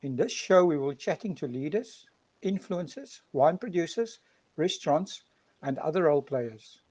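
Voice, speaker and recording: A man's voice: fairly high pitch, expressive, moderate pace, a little mellow. A man hosting a show, reading an announcer-style intro and then interviewing a guest. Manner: announcer-like, reads lists. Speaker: show host.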